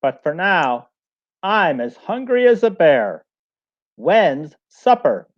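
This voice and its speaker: A man playing a lively, slow-paced storybook woodcutter. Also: fairly high pitch. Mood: agreeable. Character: poor, hungry, impulsive woodcutter husband. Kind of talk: storybook read-aloud. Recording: dull lo-fi recording.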